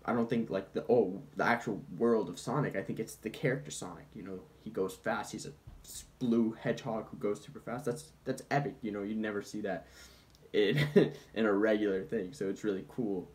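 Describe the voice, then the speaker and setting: Male commentator, low-ish voice, loose comedic video-essay delivery with bantering, defensive asides. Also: fairly even intonation, brisk, a little mellow. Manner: informal, mock-defensive asides, conversational. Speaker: film commentator presenting points.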